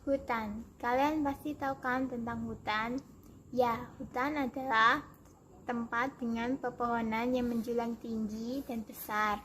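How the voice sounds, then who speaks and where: A woman's voice: a little high, fairly even intonation, measured pace, fairly bright tone, slight background noise, poor audio. A young woman storyteller narrating a children's tale, addressing her listeners directly. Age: young adult.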